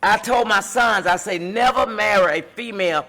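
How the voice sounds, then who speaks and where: A woman's voice: medium pitch, moderate pace, a bit bright, soft background music. A middle-aged woman hosting a relationship advice talk, speaking emphatically in a repetitive, sermon-like cadence. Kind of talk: preaching-style advice talk.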